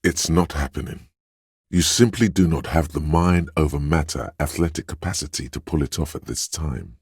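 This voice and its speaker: An actor voicing a suave, theatrical inner voice in a video game, narrating in a measured, wry way with a gravelly, husky tone. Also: male voice, extremely low pitch, no echo, clean studio sound, heavily acted. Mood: dry, advising. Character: suave, theatrical inner voice of a detective's flair and agility. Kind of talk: scripted second-person game narration.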